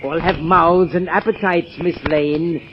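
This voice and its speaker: An actor playing a mad scientist villain in an old radio horror drama, gloating and theatrical with mock courtesy. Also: male voice, fairly high pitch, steady tone, a little slow, close to an everyday voice. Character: gloating male mad scientist villain, proud of his monstrous creations. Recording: muffled, noisy old radio recording.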